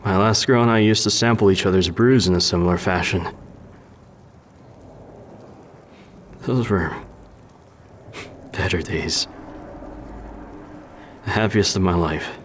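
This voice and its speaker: An actor playing a jaded, sardonic war veteran and brewer in a fantasy video game, dry and wistful. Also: male voice, sits low, normal speed, pauses often, a little hiss or hum, close to an everyday voice.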